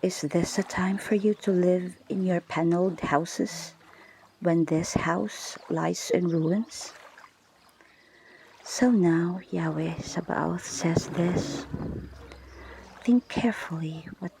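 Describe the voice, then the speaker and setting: Slow, whispering woman in ASMR prayer. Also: lowish voice, slight background noise. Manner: hushed, breathy whispering, unhurried and deliberate. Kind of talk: whispered ASMR guided prayer and scripture reading. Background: ocean water ambience.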